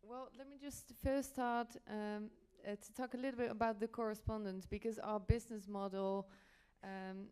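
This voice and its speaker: Dutch woman doing a panel talk, smooth clear voice, kinda halting as she explains stuff. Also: mid-range voice, fairly even intonation, a little mellow. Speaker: panel speaker, journalism editor. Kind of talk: conference presentation.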